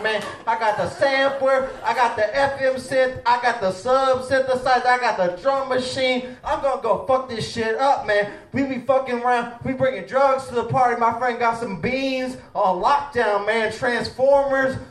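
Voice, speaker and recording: A man playing a swaggering, profane suburban bro DJ, talking in slang and trash talk on a live comedy stage. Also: very high voice. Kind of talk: live improv comedy character. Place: live stage before an audience. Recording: echoey stage from far off.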